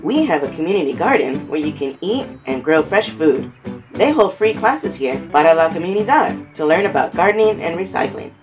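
Lady doing a friendly garden neighbor, kinda low voice, sounds far off, guitar music. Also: big pitch swings, normal speed. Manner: mixes Spanish words, informative.

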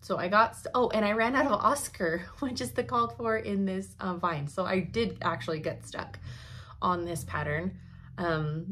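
Young woman chatting at her desk in a craft room, a bit hoarse, low and gravelly, laughs a lot. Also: fairly bright tone, a little hiss or hum. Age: young adult. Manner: chatty, laughs often, thinks aloud while showing work. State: slightly hoarse. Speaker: cross-stitch vlogger. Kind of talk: casual chatty update. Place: craft room at home, indoors. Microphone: camera in front at desk.

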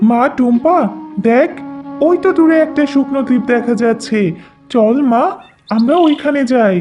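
Woman playing a high-voiced, tender, anxious village mother. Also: normal speed, warm tone, faint music behind, natural voice. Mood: helpless, comforting. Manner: soothing, plaintive, pious. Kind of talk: cartoon fairy-tale voice acting.

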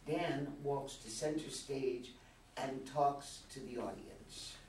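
A man's voice: mid-range voice, slow, a little mellow. An older man reading stage directions as scripted narration at a play reading. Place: meeting room with long table, indoors. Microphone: far camera. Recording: roomy, distant mic, background room noise.

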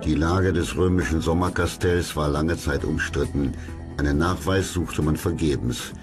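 Casting: male history narrator, extremely low voice, measured and factual, music bed behind. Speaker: documentary narrator. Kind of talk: scripted history documentary narration. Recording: clear music under the voice.

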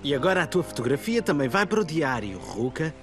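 A man's voice: on the high side, big pitch swings, fast. A friendly young father in a children's cartoon. He has a European Portuguese accent and a very varied, lilting delivery, with music behind him. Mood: friendly, encouraging. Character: good-natured young father playing first mate.

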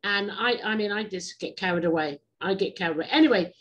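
A woman hosting an interview show, chatty and digressive, quick to laugh. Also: medium pitch. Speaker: host. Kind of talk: casual interview chat.

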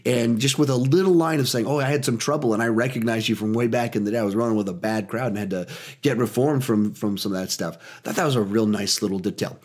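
A man in his thirties reviewing a film in a small home studio, animated and a little hoarse from a cold. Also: lowish voice. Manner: animated, gestures a lot, talks in quick bursts. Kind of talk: energetic spoiler movie review.

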